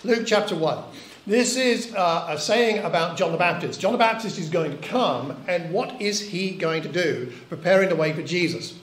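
Earnest older preacher in echoey hall. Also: male voice, high voice, expressive, normal speed, few pauses, fairly bright tone. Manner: earnest and reflective, with rhetorical questions. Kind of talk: sermon. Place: church hall. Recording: echoey church recording.

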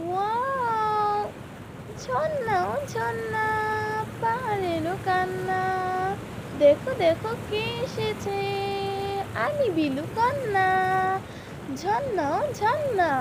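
A woman's voice: very high-pitched, lively intonation, extremely slow, heavy background noise, full character voice. A woman voicing a curious, innocent little girl kitten in a cartoon, childlike and wide-eyed. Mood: delighted wonder. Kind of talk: cartoon voice acting.

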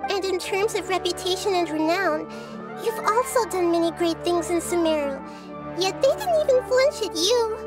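Small girl goddess, high voice, soft, thoughtful, game music behind. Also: expressive, moderate pace. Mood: gentle. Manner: soft-spoken, reflective, speaks in analogies. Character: childlike girl goddess, gentle, calm and thoughtful. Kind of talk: video game dialogue.

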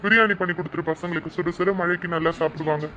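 Brief: woman as a quick-talking family dad, indulgent, noisy recording. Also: fairly low pitch, fairly even intonation, natural voice. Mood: easygoing. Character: easygoing middle-aged father and uncle. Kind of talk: family comedy skit. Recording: loud background noise.

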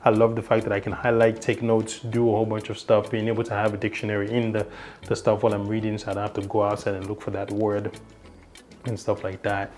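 A man's voice: on the low side, monotone, quick pace, darkish tone, soft background music. A young man, not a native English speaker, casually walking through a device's features in a small indoor room, rambling with many fillers and restarts. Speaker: tech reviewer. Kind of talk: casual product demo.

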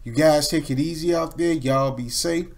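A middle-aged man giving casual, animated, slangy reaction commentary as a video host. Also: on the high side, normal speed. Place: small home room.

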